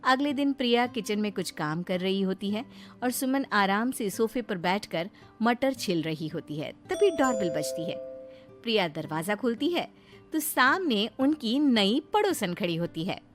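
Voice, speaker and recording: Mid-pitched woman storyteller over music. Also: animated, unhurried. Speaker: narrator. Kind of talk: scripted children's story narration.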